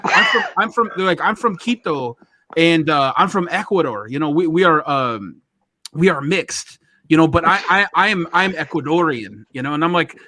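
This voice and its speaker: A man's voice: fairly high pitch, normal speed, no room sound. An American man hosting a podcast, telling a story casually, acting out the dialogue and saying "you know" a lot. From United States. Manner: animated, acts out dialogue, many "you know"s.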